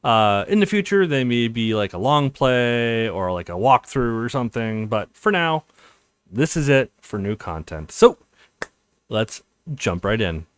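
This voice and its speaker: A man's voice: medium pitch, expressive, unhurried, clean tone, no echo. A man hosting a let's play, talking to viewers and reading visual novel text aloud as narration. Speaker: let's play video host.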